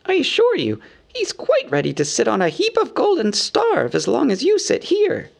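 A man voicing a small, fussy, anxious male hobbit from a storybook, squeaky, polite and rambling in a businesslike way. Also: huge pitch swings, moderate pace, creaky, heavily acted. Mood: businesslike, weary. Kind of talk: storybook character voice.

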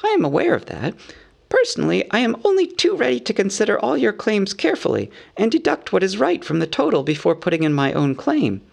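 Squeaky, fussy little hobbit. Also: male voice, wildly swooping pitch, fairly quick, gravelly, full character voice. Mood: businesslike, weary. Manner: polite, businesslike, rambling, hedging. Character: small, fussy, anxious, earnest male hobbit. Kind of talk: storybook character voice.

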